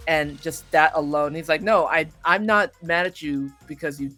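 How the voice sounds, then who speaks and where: A woman chatting casually as an interview guest, excitable, effusive and expressive in the way she talks. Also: deep voice, moderate pace, faint music behind. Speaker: voice actor guest being interviewed.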